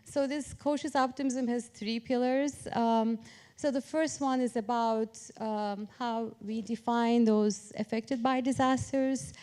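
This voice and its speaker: A woman's voice: fairly high pitch, fairly even intonation, takes it slowly, darkish tone, clean tone. A woman from Turkey speaking as an academic on a panel, explaining her approach in an informal, structured way. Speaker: academic panelist, professor of public policy.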